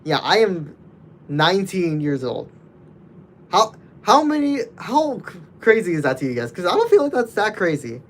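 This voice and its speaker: A man's voice: high voice, huge pitch swings, normal speed, a little hiss or hum. A nineteen-year-old man casually chatting with his livestream viewers, rambling loosely with many restarts.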